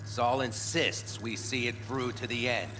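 A man's voice: medium pitch, a little slow, pushed and bright. Opinionated nomad clansman, wry, plain-spoken, video game drama, slightly distant, faint hum.

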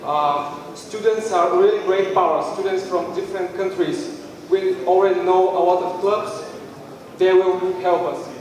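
Echoey high-voiced young Bulgarian man presenting. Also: steady tone, measured pace. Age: young adult. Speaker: startup team presenter. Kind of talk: live pitch presentation. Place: indoor event room with stage area. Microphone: handheld mic, recorded by far camera. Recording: very echoey hall, distant mic, faint noise.

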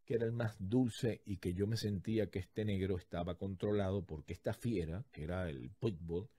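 A man in his thirties with a Venezuelan accent, hosting a podcast and telling a story in a lively, jokey way, recorded on a studio mic in a small home studio. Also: low voice, normal speed. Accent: Venezuelan Spanish. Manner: lively, jokey, acts out the people in his story with big gestures. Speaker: podcast host. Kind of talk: animated storytelling monologue. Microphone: large studio condenser mic, headphones.

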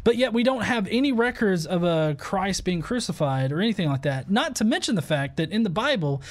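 A man in his thirties hosting a casual debate on a video call, halting and repeating words as he argues his points. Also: sits high, sing-song. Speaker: host of an atheist debate show. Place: small furnished room at home, video call.

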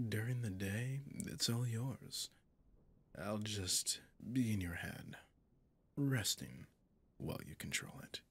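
A man's voice: fairly low pitch, exaggerated voice. A man voicing a possessive, gloating demon in an ASMR roleplay, seductive and menacing, often slipping into a whisper. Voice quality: gravelly, breathy, often whispering. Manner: slow, seductive, menacing, condescending.

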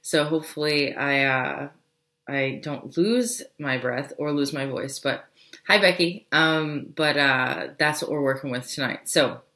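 A young woman speaking low and hoarse, her voice scratchy from a cold. She chats and rambles on a webcam livestream from a home office. The room is echoey. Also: bright, forceful tone. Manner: chatty, rambling, quick asides. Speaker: business coach. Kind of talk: casual livestream talk.

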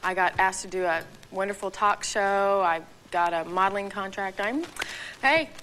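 A young woman from Oklahoma with a bright, forward voice, telling her story candidly as a game show contestant. Faint hiss sits under her on an old TV recording. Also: mid-range voice, normal speed, low-quality recording. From Oklahoma, USA. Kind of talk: casual self-introduction.

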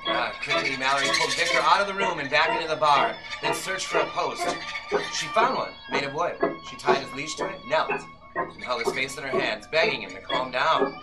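A man in his thirties narrating a novel as a suspenseful old-time radio play, with deliberate, dramatic phrasing. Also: fairly high pitch, wildly swooping pitch, low-quality recording. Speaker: author reading his own novel. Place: magazine-lined shop, indoors, small audience. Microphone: camera in the audience, some distance away. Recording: live shop performance under loud music. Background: live violin and keyboard music.

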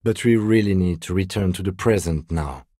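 A man acting a reserved, dutiful police detective in a video game, speaking in a measured, formal, deadpan way with dry courtesy. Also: deep voice, slightly rough, no echo. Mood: dry, composed. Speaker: voice actor.

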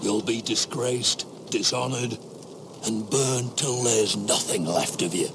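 An actor playing a stern, scornful middle-aged watch officer in a dramatic video game, speaking with deliberate menace and a gravelly voice. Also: male voice, on the low side, noisy background. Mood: cold accusation.

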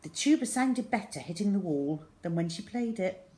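A woman's voice: mid-range voice, expressive, moderate pace, warm tone. A middle-aged woman reading a children's story aloud expressively. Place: small room indoors at home.

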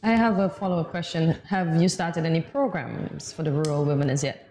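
A woman's voice: deep voice, a little fast, a little mellow, roomy sound, slight background noise. A woman hosting a morning TV show, asking questions in an encouraging way with frequent affirmations. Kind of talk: TV interview questions. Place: indoor TV studio.

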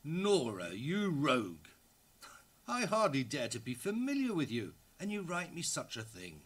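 An actor reading aloud as a lustful, teasing writer of love letters from the early 1900s. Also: male voice, a little high, wildly swooping pitch, unhurried, full character voice.

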